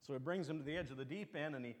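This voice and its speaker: A man's voice: on the high side, rapid-fire. A male pastor preaching a sermon, telling folksy stories and jokes with rambling asides. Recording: long reverb of a large hall.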